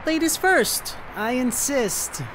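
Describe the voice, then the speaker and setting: A woman's voice: high voice, animated, slow. A woman playing a cheeky but polite young twin brother in an audio drama. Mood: cheeky, flustered. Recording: faint ambient background noise.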